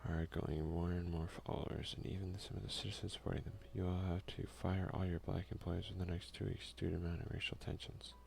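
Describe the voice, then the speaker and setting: Low, creaky-voiced man playing ASMR games. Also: little pitch movement, quick pace, hardly any pauses, darkish tone. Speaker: gaming video creator and player. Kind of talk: ASMR let's play, reading game text aloud and commenting.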